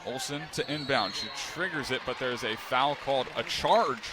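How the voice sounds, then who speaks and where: A young man doing live play-by-play, with a quick, crisp call that climbs into excited shouts on big plays. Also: mid-range voice. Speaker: play-by-play announcer. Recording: broadcast with loud arena crowd and noise.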